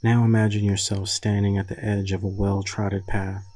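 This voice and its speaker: A man leading a guided meditation in a soothing, deliberate manner with long pauses and a husky voice. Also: fairly low pitch, little pitch movement, warm tone, no echo.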